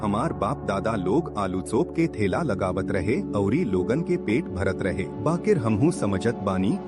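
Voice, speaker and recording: A poor, honest fritter seller from a cartoon moral tale, played by a man, with a low, expressive voice and a Bhojpuri accent. He speaks in an earnest, pleading, principled way over loud music. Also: unhurried. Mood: earnest, hopeful. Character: poor, honest, devout male potato-fritter street vendor, married. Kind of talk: animated moral story dialogue. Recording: loud music bed behind voice.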